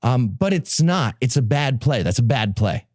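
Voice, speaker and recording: A man's voice: mid-range voice, sing-song, moderate pace, darkish tone, no room sound, studio quality. A sardonic, emphatic man presenting a comic podcast summary, swearing freely.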